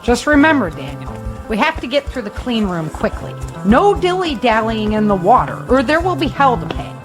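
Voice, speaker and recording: An actress playing a protective, practical mother in an audio drama, firm, brisk and reassuring. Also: a little high, very animated, music in the background.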